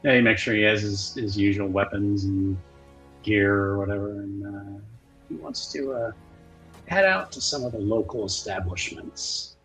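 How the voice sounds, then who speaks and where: A man narrating his character's moves in a tabletop roleplaying game, casually and with hesitant pauses. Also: lowish voice, fairly bright tone, soft background music, low-quality recording.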